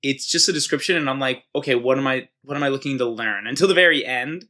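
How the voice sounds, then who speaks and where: A man's voice: medium pitch, quick pace, sharp tone. A man co-hosting a casual podcast, talking in a playful, rambling way. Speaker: podcast co-host. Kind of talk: casual chat.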